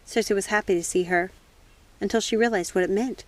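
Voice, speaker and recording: A woman's voice: medium pitch, a little fast. A woman narrating a novel as a storybook reader and host. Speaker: audiobook reader and channel host.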